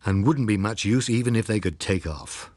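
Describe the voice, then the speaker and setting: A man's voice: on the low side, normal speed, a little mellow, no room sound, pitched below the performer's own voice, lightly acted. A man voicing a grim, resigned police officer giving terse orders in an audiobook. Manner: terse, commanding. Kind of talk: audiobook character reading.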